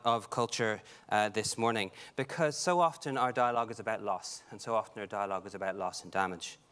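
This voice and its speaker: A man's voice: mid-range voice, a bit bright. A man with a Dublin Irish accent, giving an earnest prepared talk at a conference, with frequent ums and restarts. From Ireland. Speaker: conference speaker. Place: large conference hall.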